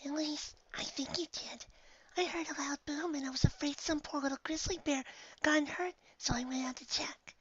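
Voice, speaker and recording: A man voicing a timid, tender young female pegasus pony in a soft, breathy, hesitant falsetto. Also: fairly even intonation, normal speed, lo-fi sound. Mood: soothing, gentle. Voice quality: breathy, near-whispering falsetto.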